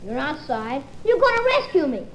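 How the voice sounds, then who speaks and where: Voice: sits high, big pitch swings, moderate pace, a bit bright, rough recording. An actor voicing a bored, bedridden young boy in an old-time radio family comedy, plaintive, slangy and given to exaggeration. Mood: eager, scheming. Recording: old radio recording with hiss.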